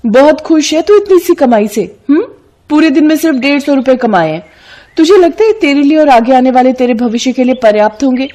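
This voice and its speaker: A woman's voice: high voice, lively intonation, a little fast, warm tone, voice pushed up. A woman voicing a cunning, bossy young city woman who pushes and persuades her friend in a cartoon moral story. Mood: scornful. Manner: pushy, scolding, persuasive.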